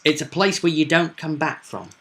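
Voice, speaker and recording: A man's voice: a little high, expressive, moderate pace, bright, forceful tone. A man chatting as a game master, recounting a tabletop roleplay session with emphatic, dramatic storytelling.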